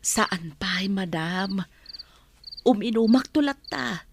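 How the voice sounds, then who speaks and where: Slow-spoken household helper, noisy track. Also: female voice, mid-range voice, lively intonation. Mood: respectful. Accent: Filipino (Ilocano). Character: deferential, caring female household helper. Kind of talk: Ilocano radio drama acting. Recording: noisy track with clicks and insect sounds.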